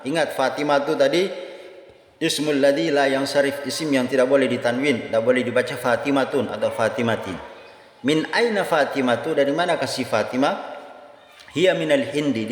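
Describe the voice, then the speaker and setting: A man with an Indonesian accent giving a language lesson, reading phrases aloud, repeating them and explaining them didactically. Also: mid-range voice. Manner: didactic, repeats phrases and asks himself questions. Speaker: Arabic language teacher. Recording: echoey room with long reverb.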